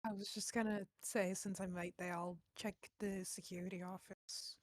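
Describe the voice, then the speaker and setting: A woman's voice: medium pitch, level tone, normal speed, no room sound. Dry-sounding woman playing a tabletop game. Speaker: player in a tabletop roleplaying game. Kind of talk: casual game talk.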